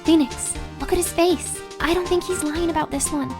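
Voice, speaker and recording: A very high-voiced young female assistant. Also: fairly even intonation, moderate pace. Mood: concerned. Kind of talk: video game courtroom dialogue. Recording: clear music behind the voice.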